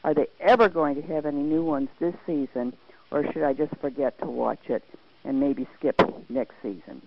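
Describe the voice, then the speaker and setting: A woman's voice: low-pitched, normal speed. A woman leaving a voicemail question, exasperated and complaining. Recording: dull voicemail line with faint hiss.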